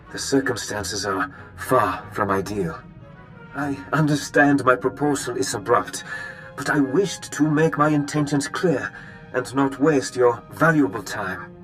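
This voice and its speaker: Actor doing courtly count guy, very formal and sincere, medium pitch, video game cutscene with soft music. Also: close to an everyday voice, poor audio. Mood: awkward, apologetic. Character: earnest, courtly nobleman count, idealistic and formal. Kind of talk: video game dialogue acting.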